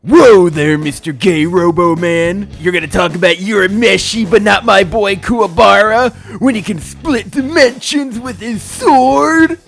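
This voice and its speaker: Man putting on a high, creaky, indignant fanboy. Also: a little slow, fairly bright tone, soft background music, heavily acted. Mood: indignant, taunting. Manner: brash, taunting. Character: indignant, cocky fanboy heckler butting in.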